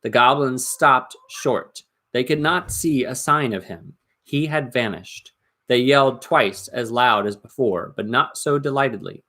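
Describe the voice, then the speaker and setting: Guy reading a storybook dramatically, sharp bright voice, suspenseful and expressive. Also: mid-range voice, a little slow. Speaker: storybook reader. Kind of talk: dramatic audiobook narration.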